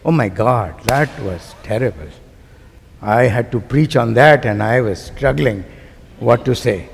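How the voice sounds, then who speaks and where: A man with a Pakistani accent preaching a sermon to a congregation, using emphatic, deliberate phrasing and putting rhetorical questions to his listeners. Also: mid-range voice, lively intonation, creaky, slightly rough. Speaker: guest preacher. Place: large church sanctuary. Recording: long hall reverb of a church sanctuary.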